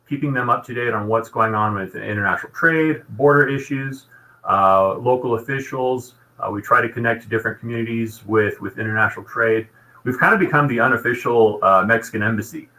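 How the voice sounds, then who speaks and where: A man's voice: mid-range voice, fairly quick, bright, forceful tone, poor audio. A man giving an informational presentation about his program in an explanatory, businesslike manner.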